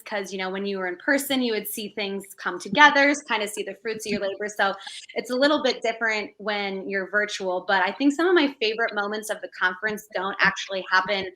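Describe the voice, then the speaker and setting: A young woman with a bright, clear voice talks through the planning of a virtual conference. She speaks casually and loosely, with plenty of fillers. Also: medium pitch, low-quality recording. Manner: relaxed, conversational, frequent fillers. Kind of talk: casual chat.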